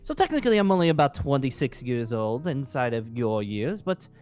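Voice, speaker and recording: A man's voice: mid-range voice, big pitch swings, a little fast, a little mellow, soft background music, close to an everyday voice. Man playing formal ancient dragon slayer, swooping voice. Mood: explaining. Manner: formal, wordy, hesitant. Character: polite ancient male dragon slayer, formal, twenty-six in body. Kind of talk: improvised fantasy game roleplay.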